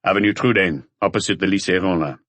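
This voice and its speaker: A man voicing a calm, authoritative police chief inspector in an audiobook, terse and matter-of-fact. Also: sits low, fast, no echo. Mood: commanding.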